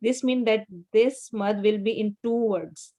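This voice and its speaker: A woman's voice: medium pitch, fairly even intonation, a little slow, dark tone. A woman teaching a class on Quran recitation rules, explaining patiently step by step with memory tips. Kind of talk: online class instruction.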